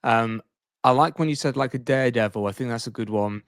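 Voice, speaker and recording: A man's voice: mid-range voice, a little fast, clear, resonant tone, no echo, studio quality. A man in casual podcast conversation, rambling along in a playful, jokey way. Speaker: podcast co-host.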